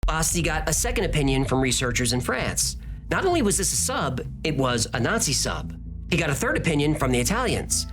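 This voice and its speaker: A man's voice: on the high side, sing-song, a little fast. Man narrating dramatically over music. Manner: dramatic, punchy storytelling with rhetorical questions. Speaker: documentary narrator. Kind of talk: scripted documentary narration.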